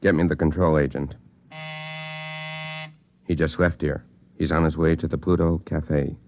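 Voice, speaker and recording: An actor playing a gruff, world-weary older hiring boss, cynical and patronizing, with streetwise, lecturing delivery in old radio drama style. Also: male voice, a bass voice, level tone, a little slow, dull audio, noisy background. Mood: conspiratorial. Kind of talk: 1950s science-fiction radio drama acting.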